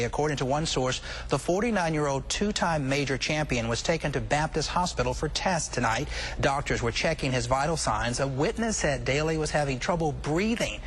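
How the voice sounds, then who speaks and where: A man's voice: on the high side, fairly bright tone. A man reading scripted local television news as an anchor. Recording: faint background noise.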